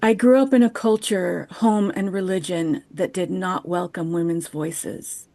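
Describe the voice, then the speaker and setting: A woman in her fifties giving a measured, poetic chapel reflection over a video call. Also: on the low side, steady tone, vocal fry, dark tone. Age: 50s. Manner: measured, poetic, unhurried delivery. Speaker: chapel speaker. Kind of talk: reflective personal chapel talk, read aloud. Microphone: video call microphone.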